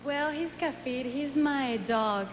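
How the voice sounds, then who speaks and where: Slow young woman, hall echo. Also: high-pitched. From Colombia. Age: 20s. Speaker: pageant contestant. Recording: roomy hall reverb, faint noise.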